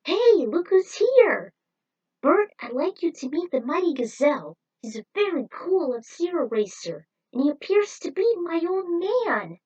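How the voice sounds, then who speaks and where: A woman's voice: extremely high pitch, big pitch swings, a little slow, a little mellow, far from the mic, lo-fi sound, voice pushed up, full character voice. A woman voicing an eager young male racer in an informal, exclaiming way for a story. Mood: excited, proud.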